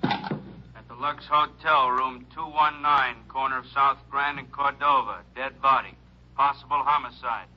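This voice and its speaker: An official-sounding male police dispatcher, played by an actor in a radio crime play. He drones slowly at a medium pitch, on a dull old recording with faint music. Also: steady tone, lightly acted. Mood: impassive, official. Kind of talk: mid-century radio drama acting.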